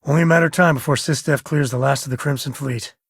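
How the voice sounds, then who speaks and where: An actor playing a laid-back, sardonic space ranger and devoted father in a video game, with a folksy, wry way of talking and a husky, gravelly voice. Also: mid-range voice, steady tone, quick pace, no room sound. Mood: easygoing, resolute. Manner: folksy, wry, drawling informal speech. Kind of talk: video game companion dialogue.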